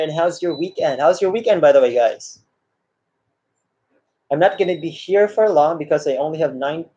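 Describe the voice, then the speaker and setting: A friendly man in his thirties talks to his viewers on a live stream. His voice is mellow and a little high for a man. It comes through a dull, lo-fi laptop mic in a small room. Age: 30s. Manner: friendly, greets viewers by name, reads chat aloud, laughs often. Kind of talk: casual live stream chat, reading out comments. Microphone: laptop webcam mic.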